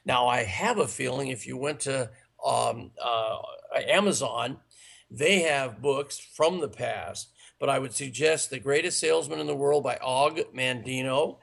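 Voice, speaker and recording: A man with a raspy, worn voice from long speaking, earnestly giving sales and management advice in an interview. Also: mid-range voice, measured pace, a bit bright. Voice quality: gravelly. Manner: earnest, instructive, listing points. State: raspy, tired voice. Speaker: sales trainer guest.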